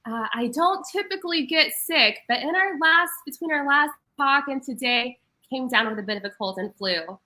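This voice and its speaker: A higher-pitched young woman with a bright, forceful tone, sounding slightly stuffy from a cold. She speaks as a wellness coach on a podcast, earnest and upbeat as she explains things. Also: lively intonation, normal speed, few pauses. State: congested from a cold. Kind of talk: casual podcast talk.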